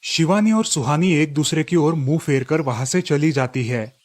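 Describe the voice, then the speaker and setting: A man's voice: a little high, moderate pace, a little mellow, soft background music. A man reading scripted storybook narration for a cartoon tale.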